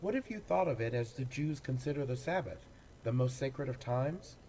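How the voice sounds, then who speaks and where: A man, an actor, reading poems aloud in a dramatic, deliberate way with pauses between phrases. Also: mid-range voice, expressive, a little mellow, a little hiss or hum, rough recording. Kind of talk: dramatic poetry recitation.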